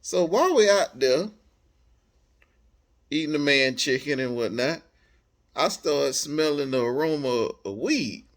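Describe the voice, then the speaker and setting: An American man telling a personal story casually, in animated, slangy speech, acting out bits of dialogue as he goes. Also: fairly high pitch, measured pace, pauses often.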